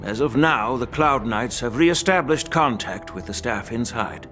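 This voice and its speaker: An actor playing a calm, shrewd veteran general in a video game, speaking in a measured, instructive way. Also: male voice, medium pitch, soft background music. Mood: composed. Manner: measured, deliberate, gently instructive. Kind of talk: scripted video game acting.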